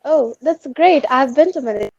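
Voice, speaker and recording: A high-voiced Indian woman talks in a halting, polite way, often restarting as she looks for the right word. The audio is dull and lo-fi with no room sound at all, like a laptop video call. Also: animated, gravelly, no room sound. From India, West Bengal. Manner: halting, searching for words, polite. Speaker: language student. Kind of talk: casual video-call chat.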